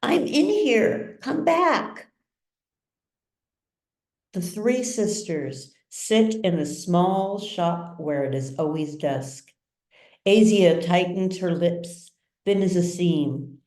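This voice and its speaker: A woman narrating a literary story as its author, with measured, dramatic delivery. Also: lowish voice, warm tone. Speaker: author reading own novel. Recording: roomy echo, distant mic.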